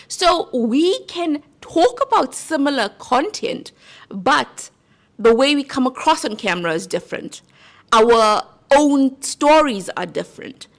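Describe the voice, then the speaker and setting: A woman with a South African accent talks straight to her viewers in an easy, conversational way. Her voice sits a little high, and she keeps checking in with a quick "right?". It sounds like earnest, practical creator advice. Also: a bit bright. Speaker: YouTube creator. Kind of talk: casual talking-head advice.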